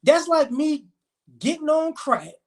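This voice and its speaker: A man's voice: very high voice, big pitch swings, slow pace, a little mellow. A man in his forties hosting a live stream, talking in a rambling, emphatic, slangy way. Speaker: livestream host. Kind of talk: casual live-stream commentary.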